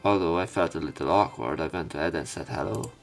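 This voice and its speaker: A man's voice: a bass voice, fast talker, vocal fry, soft background music. A man reading visual novel narration aloud in a subdued way, as a let's player.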